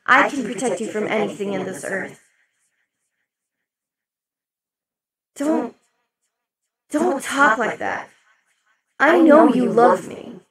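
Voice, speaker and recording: A woman voicing a possessive, imperious demon queen in an ASMR roleplay, speaking slowly and sinking into soft whispers. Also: a little high, expressive. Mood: jealous, forceful. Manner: slow, velvety, dips into whispers. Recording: loud party crowd under the voice. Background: crowd chatter of a party.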